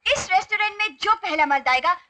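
A woman's voice: very high voice, lively intonation, brisk, bright, forceful tone, full character voice. A woman playing a bold young friend who makes boastful bets and dares. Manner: boastful and challenging.

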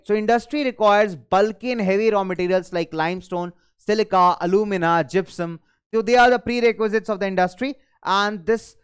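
A young man, a native Hindi speaker, giving a recorded class lecture in a studio on a lapel mic, lively and thinking aloud as he goes. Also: high voice, clean tone. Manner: energetic, gestures at screen, thinks aloud. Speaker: teacher. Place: studio in front of a large screen.

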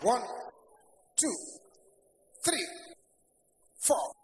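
An older man as a pastor, leading a congregation in loud prayer with shouted commands and bursts of tongues. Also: very high voice, big pitch swings, extremely slow. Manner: speaks in tongues between prayers. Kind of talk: fiery prayer session sermon. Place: large church hall. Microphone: stand microphone at pulpit. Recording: echoey hall with noisy congregation.

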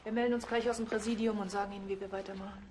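A woman's voice: medium pitch, little pitch movement, racing, a little mellow, a little hiss or hum, lightly acted. A woman playing a curt, businesslike police investigator, clipped and commanding, in a crime drama. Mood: curt, official. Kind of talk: naturalistic TV crime drama acting.

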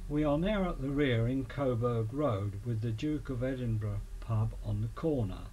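A man with an English accent reading a scripted local history documentary in a measured manner. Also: mid-range voice, mellow. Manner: measured, listing names and dates. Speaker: narrator of a local history film. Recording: clearly noisy amateur voice-over recording.

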